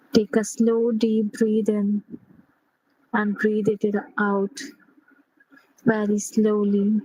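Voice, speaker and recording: A woman leading a guided sleep meditation in a gentle, unhurried manner, pausing between short phrases. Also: medium pitch, no room sound, rough recording.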